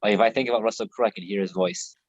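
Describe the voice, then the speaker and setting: A man's voice: on the low side, steady tone, racing, husky. Laid-back American man, lo-fi call. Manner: laid-back, swears freely, laughs often. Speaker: host. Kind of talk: casual chat on a live video call. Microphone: video call.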